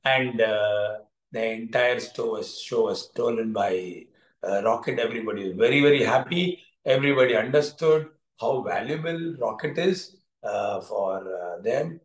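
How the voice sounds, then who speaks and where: A man's voice: mid-range voice, normal speed. A middle-aged man with an Indian accent teaching through improvised storytelling, repeating phrases for emphasis in an encouraging way. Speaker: coach telling a moral story to students. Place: indoor furnished room with curtains, echoey. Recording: echoey room sound.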